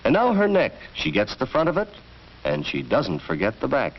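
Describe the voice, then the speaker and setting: A man's voice: mid-range voice, wildly swooping pitch, normal speed, creaky. An American man narrating a 1940s educational film from a script, in an instructive, storytelling manner. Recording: dull vintage film soundtrack, faint noise.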